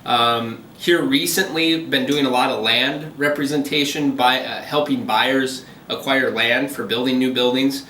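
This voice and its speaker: A man's voice: medium pitch, unhurried, few pauses, reverberant, distant mic, slight background noise. A man working as a commercial realtor, explaining local deals in a businesslike way during a phone interview. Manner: steady, businesslike explaining with frequent ums.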